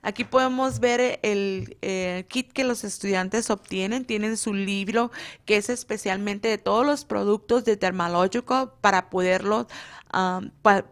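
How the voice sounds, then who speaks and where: A woman, a beauty school instructor whose first language is Spanish, talking on a radio interview and often pausing to search for words. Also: mid-range voice, steady tone. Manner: hesitant, many fillers, searches for words.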